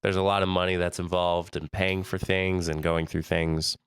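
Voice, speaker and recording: A man's voice: sits low, flat delivery, moderate pace, smooth, dry recording, clean studio sound. An American man co-hosting a podcast, chatty and laughing often, his voice stuffy from allergies. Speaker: podcast co-host. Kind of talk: casual podcast chat.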